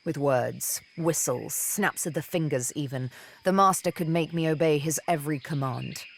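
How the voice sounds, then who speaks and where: A woman playing a seductive, vengeful elven assassin and former slave in a video game, speaking in a deliberate, theatrical, teasing manner. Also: deep voice, gravelly, slight background noise. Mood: haunted, bitter. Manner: deliberate, teasing, dramatic storytelling with pauses. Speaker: video game companion character.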